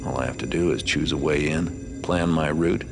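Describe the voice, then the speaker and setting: A rock-bottom, gravelly voice for a cynical master thief in a stealth game. He is dry and understated, a weary loner who narrates with sardonic wit. Music runs behind him. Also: moderate pace. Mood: wry, calculating. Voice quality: very low, gravelly.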